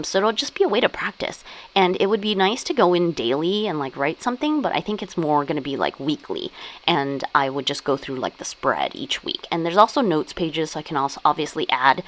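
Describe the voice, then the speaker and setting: A woman's voice: low voice, sing-song, fairly quick, poor audio. A young woman chatting casually about her planners and journals, with frequent "like" and "um" fillers. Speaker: stationery vlogger. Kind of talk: casual show-and-tell chat.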